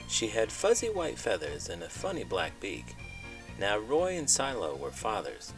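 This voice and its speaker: A man hosting a children's read-aloud, speaking in an expressive, playful storytelling style. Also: lowish voice, unhurried, over music, poor audio. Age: adult parent.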